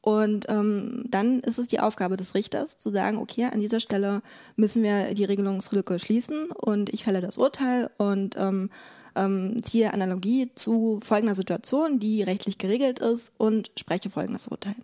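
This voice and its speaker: A woman's voice: medium pitch, normal speed, dark tone. A woman explaining things as a podcast interview guest, conversational and often searching for words. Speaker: interview guest, legal expert.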